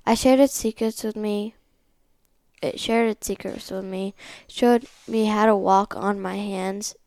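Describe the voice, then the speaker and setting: A female read-along host reads a picture book aloud. Her voice is middling in pitch with a noticeable creak, and it is recorded dry, with little room sound. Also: darkish tone, dry recording.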